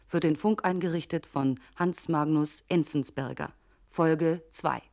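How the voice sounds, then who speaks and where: A woman's voice: low voice, measured pace, darkish tone. A woman reading out titles as a formal radio drama announcer.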